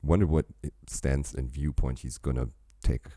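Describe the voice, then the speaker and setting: A man's voice: extremely low pitch, steady tone, normal speed, warm tone, no echo, clean studio sound. A man hosting a reaction channel, rambling casually with chatty asides while sipping a drink.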